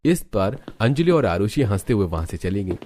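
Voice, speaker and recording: A man's voice: lowish voice, sing-song, normal speed, background noise. A man narrating a scripted cartoon family story as a storyteller.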